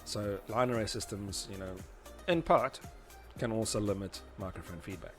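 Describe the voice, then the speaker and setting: A man's voice: fairly low pitch, normal speed, soft background music. A man presenting an informal tutorial, talking through diagrams in a conversational, explanatory way. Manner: informal, conversational, often adds "you know" and "obviously". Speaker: presenter of a tutorial series on PA systems.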